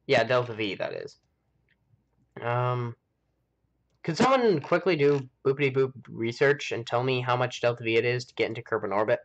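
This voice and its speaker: A man's voice: medium pitch, expressive, normal speed, highs cut off. An American man hosting a game live stream, chatting casually and rambling as he thinks aloud. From United States. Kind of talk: casual chat while playing a game.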